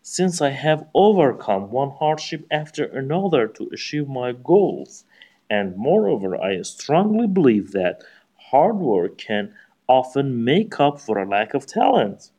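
A man from Iran with an Iranian accent, speaking English as a second language and giving a prepared speech in formal, deliberate phrasing. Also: medium pitch, big pitch swings, warm tone. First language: Persian. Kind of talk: practice speech, memorized.

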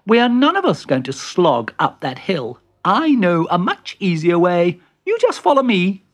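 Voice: medium pitch, wildly swooping pitch, a little slow, pitched above the performer's own voice. A storyteller voicing an easygoing, knowing young male animal in a confident, reassuring manner, for a children's story.

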